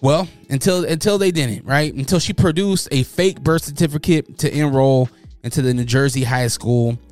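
A man's voice: mid-range voice, normal speed. Brief: man, casual commentary host, slangy and joking, faint music underneath. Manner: animated, joking, slangy, mocking voices in quotes.

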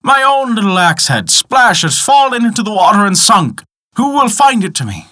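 A man voicing a greedy, lying woodcutter in a storybook tale, loud, whiny and exaggerated. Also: on the high side, big pitch swings, takes it slowly, pitched above the performer's own voice, exaggerated voice. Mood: fake distress. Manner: yelling, exaggerated.

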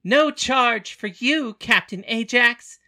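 A woman's voice: a little high, slow pace, sharp tone, exaggerated voice. A woman voicing an eager young girl who plays a boy newspaper seller in a stage play, proclaiming her lines. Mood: admiring, dramatic.

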